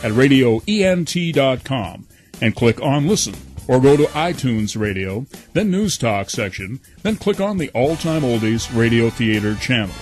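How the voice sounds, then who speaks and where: A man's voice: medium pitch, sing-song, normal speed, a little mellow. A man reading scripted promo narration as a radio station announcer. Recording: faint music bed behind.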